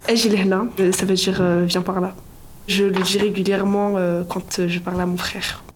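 A woman's voice: mid-range voice, level tone, moderate pace. A woman giving casual, informal interview answers about herself. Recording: faint ambient noise.

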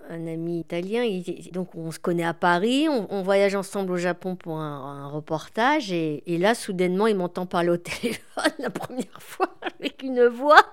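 A woman in her forties, a guest in an interview, speaking reflectively with many pauses and fillers. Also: medium pitch, smooth. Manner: reflective, hesitant with frequent fillers. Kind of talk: conversational interview.

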